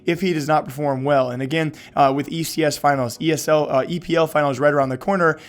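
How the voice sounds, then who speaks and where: A man's voice: on the high side, fast. A man hosting an esports news show, talking energetically while losing his voice. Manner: energetic, many fillers. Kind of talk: fast esports news commentary.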